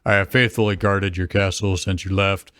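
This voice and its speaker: A man voicing a huge, loyal ice guardian monster in a deep, strained, slow and formal voice for a fan fiction reading. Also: level tone, fairly bright tone, clear, resonant tone, no echo, clean studio sound. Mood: solemn.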